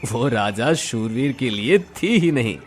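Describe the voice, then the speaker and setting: A man voicing a kindly river god in a cartoon moral story, reassuring with calm authority. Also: medium pitch, measured pace, faint music behind, lightly acted. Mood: benevolent, explaining.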